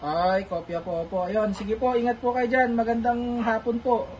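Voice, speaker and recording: A man's voice: very high-pitched, fairly even intonation, moderate pace, darkish tone, slight background noise, low-quality recording. A polite man making a casual two-way radio call as an amateur operator, repeating himself for clarity. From Philippines.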